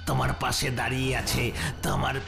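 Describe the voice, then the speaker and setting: A man playing a gloating, menacing megalomaniac villain captain in a cartoon, with gravelly, theatrical, drawn-out speech. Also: mid-range voice, monotone. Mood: gloating, threatening. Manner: theatrical, drawn-out threats with evil cackling. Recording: music behind the voice.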